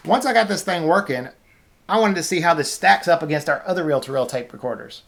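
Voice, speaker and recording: A man's voice: on the high side, lively intonation, brisk, creaky, fairly bright tone. A man hosts an informal demonstration in a casual, unscripted way. He explains things as he goes, hesitating here and there as he finds his words. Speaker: video host.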